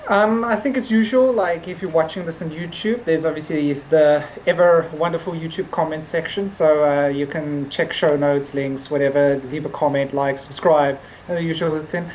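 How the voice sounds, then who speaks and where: Low-voiced woman podcasting, dull noisy audio. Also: moderate pace, hardly any pauses, a little mellow. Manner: rambling, hesitant, loosely phrased. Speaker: podcast co-host. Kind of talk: casual unscripted chat. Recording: dull lo-fi audio, background noise.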